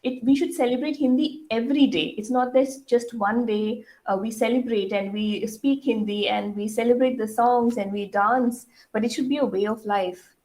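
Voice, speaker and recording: Middle-aged woman, Indian English accent, school leader at assembly, earnest and halting, a bit high-voiced. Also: steady tone, darkish tone, rough recording. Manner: earnest, many fillers, asks the audience questions. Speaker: school head addressing students.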